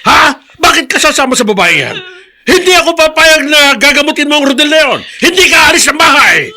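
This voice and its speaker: A man's voice: very high-pitched, expressive, a little fast, pushed and bright, heavily acted. An older man playing an angry, stubborn Filipino grandfather who scolds indignantly in a radio drama. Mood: furious scolding. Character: angry, stubborn old grandfather holding a family grudge.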